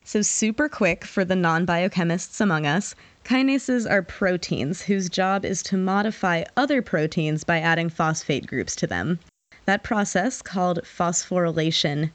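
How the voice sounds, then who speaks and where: Low-voiced woman narrating in a dry studio. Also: normal speed, no echo. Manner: clear explanatory voice-over. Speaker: podcast host. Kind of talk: scripted narration.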